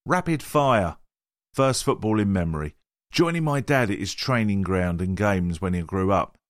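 A man's voice: lowish voice, animated, moderate pace, no room sound, studio quality. A man reading a player question-and-answer piece aloud, lifting each prompt and dropping into the answers. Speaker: reader.

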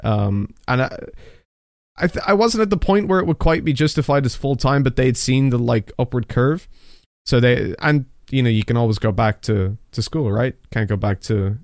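A man's voice: medium pitch, moderate pace, no room sound, studio quality. A young man from Ireland, an esports caster with a mixed, neutral accent, chatting casually and self-deprecatingly in an interview, often restarting his sentences. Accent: mixed, neutral Irish-American.

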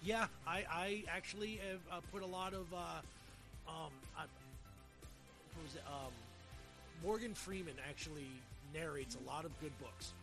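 A woman's voice: deep voice, normal speed, music in the background. A woman hosting a casual podcast chat, talking informally and emphatically with plenty of swearing. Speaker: podcast host.

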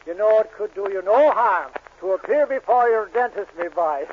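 An actor playing a friendly, gently teasing Irish policeman with a folksy lilt in a 1940s radio sketch. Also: sits high, animated, normal speed. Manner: folksy, lilting turns of phrase. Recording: muffled, noisy 1940s radio recording.

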